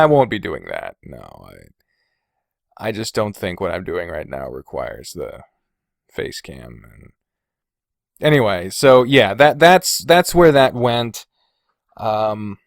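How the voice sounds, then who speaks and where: A man's voice: on the low side, no echo, studio quality. A man chatting casually as a solo podcast host, self-deprecating and sweary, wandering off on digressions, recorded indoors on a USB microphone. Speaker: podcast host and video creator. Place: indoors in a bedroom. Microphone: Blue Snowball omnidirectional USB microphone.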